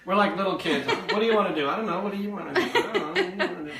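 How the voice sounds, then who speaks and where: A man's voice: high voice, big pitch swings, racing, creaky, recorded from a distance, crowd noise. A man musician chatting casually as a show guest, joking and often laughing through his words. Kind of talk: casual chat. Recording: echoey room from afar, guitar behind.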